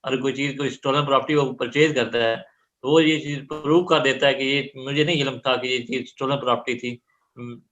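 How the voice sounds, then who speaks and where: Flat-toned Pakistani male student, dull recording. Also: mid-range voice. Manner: halting, groping for phrasing. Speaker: law student. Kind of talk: spoken answer in class, summarizing.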